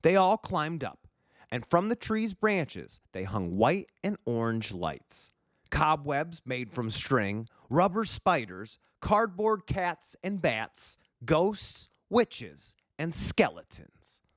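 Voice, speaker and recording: A man reads a children's story at an unhurried pace, with very lively, swinging intonation. The recording is close and dry. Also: a little high, no room sound. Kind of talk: animated storybook narration.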